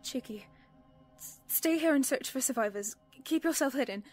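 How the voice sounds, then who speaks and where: Audio drama heroine: actress as anxious British superheroine, high voice, urgent delivery over faint music. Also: female voice, animated, moderate pace, a little mellow. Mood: horrified, urgent. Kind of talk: audio roleplay acting.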